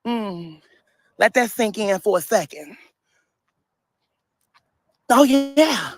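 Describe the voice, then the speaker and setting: A man's voice: high voice, lively intonation, very slow, dry recording, studio quality. A man in his thirties from St. Louis with a speech impediment, ranting emphatically and laughing often in a casual vlog. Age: 30s. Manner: emphatic, repetitive, laughs often while talking. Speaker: social media personality. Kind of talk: casual livestream-style rant.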